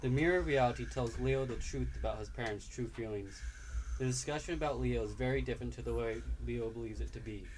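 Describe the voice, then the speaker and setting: Steady male story narrator. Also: medium pitch, quick pace, slight background noise, low-quality recording. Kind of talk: read-aloud story narration.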